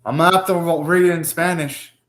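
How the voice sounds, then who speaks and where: A man's voice: on the high side, steady tone, brisk, a bit bright. A young man hosting a live anime reaction, talking casually, ranting and swearing now and then.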